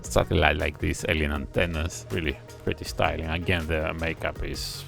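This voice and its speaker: Deep, gravelly man reviewing a music video. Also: fairly even intonation, fairly bright tone. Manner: analytical, admiring, thinks aloud with pauses. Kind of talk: casual reaction commentary. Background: faint music video playing.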